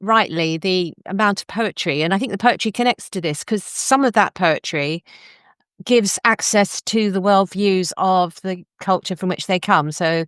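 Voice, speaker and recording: A woman's voice: mid-range voice, moderate pace, fairly bright tone, smooth, dry recording. A woman hosting a conversational book interview in an articulate, discursive way. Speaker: podcast host and interviewer.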